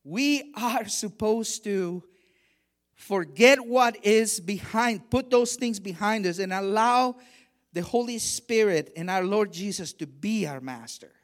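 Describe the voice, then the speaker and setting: A middle-aged man from Honduras preaching a sermon, measured and emphatic, with quieter stretches for personal stories. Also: high voice, lively intonation. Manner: measured preaching, rising emphasis on key phrases. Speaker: guest preacher.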